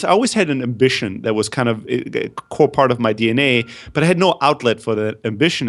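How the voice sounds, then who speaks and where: A man from Greece talking as a podcast guest, telling his founder story in a candid, self-deprecating, energetic way. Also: medium pitch. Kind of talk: casual interview storytelling.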